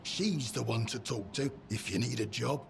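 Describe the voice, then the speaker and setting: An actor playing a menacing henchman in a video game, gravelly and matter-of-fact in his threats. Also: medium pitch, moderate pace, noisy background, natural voice. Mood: quietly threatening. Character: menacing male henchman guarding his boss. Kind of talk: video game character dialogue.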